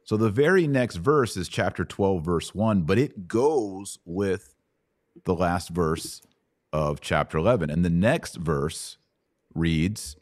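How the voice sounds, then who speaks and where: Low-voiced man teaching the Bible. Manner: didactic, asks checking questions. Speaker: podcast host teaching the Bible. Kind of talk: live Bible Q&A, conversational teaching.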